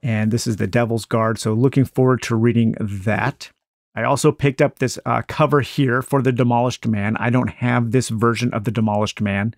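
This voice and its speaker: A man casually talking through his vintage paperback haul, enthusiastic and unhurried, with many fillers. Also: mid-range voice, fairly even intonation, no echo, studio quality. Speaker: book collector showing a book haul. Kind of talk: casual book haul chat with a read-aloud passage. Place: indoors at a table with books.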